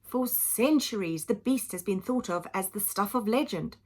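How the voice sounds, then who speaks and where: A woman reads a children's story in a lively, highly varied voice with a touch of huskiness. She leans on dramatic words and steps aside to explain them plainly, as a teacher would for young listeners. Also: moderate pace. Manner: animated, dramatic emphasis, explaining simply for children. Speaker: teacher reading aloud to children.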